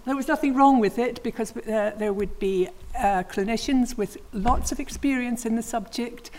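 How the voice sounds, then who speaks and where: A woman in her sixties, a retired health official, explaining her work on a panel in a measured, plain way. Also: fairly high pitch, a little mellow.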